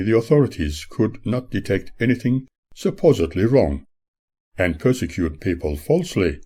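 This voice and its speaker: Deep-voiced older man reading his memoir. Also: warm tone, slightly rough. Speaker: author reading own memoir. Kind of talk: scripted audiobook narration.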